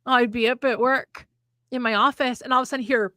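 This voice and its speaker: A woman's voice: fairly high pitch, steady tone, a little fast, bright, forceful tone, dry recording. Chatty American woman, dry close sound. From United States. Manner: chatty storyteller, laughs often. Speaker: podcast co-host, author. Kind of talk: casual chat between friends.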